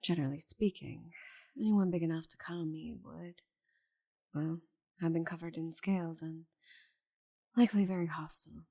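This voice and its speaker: A proud, stubborn she-dragon, played by a woman in an intimate ASMR roleplay. Her voice is low and gravelly with a husky grain. She speaks dryly, with grudging affection. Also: warm tone, full character voice. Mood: softening, wry. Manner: proud, dry, halting when flustered. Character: giant stubborn female dragon, cursed to shrink, grudgingly affectionate.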